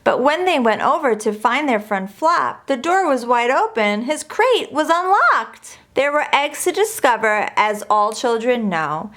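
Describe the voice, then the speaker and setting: Woman, slightly high voice, very slow pace, expressive children's read-aloud, rhyming verse, deliberate phrasing. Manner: measured, deliberate storytelling delivery. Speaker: storybook reader.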